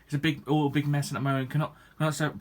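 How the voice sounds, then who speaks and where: A man's voice: on the high side, flat delivery, rapid-fire. A 21-year-old man, a gaming YouTuber chatting to his viewers in a rambling, hesitant way, full of restarts and ums. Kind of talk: casual vlog chat to viewers.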